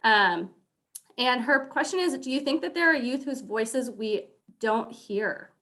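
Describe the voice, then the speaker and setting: A woman's voice: fairly high pitch, expressive, unhurried, pushed and bright. Woman panel moderator, encouraging tone, reads audience questions.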